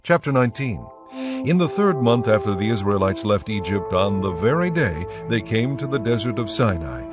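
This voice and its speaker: A man narrating scripture for a dramatized audio production in a measured, formal storytelling manner. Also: fairly low pitch, big pitch swings. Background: soft music.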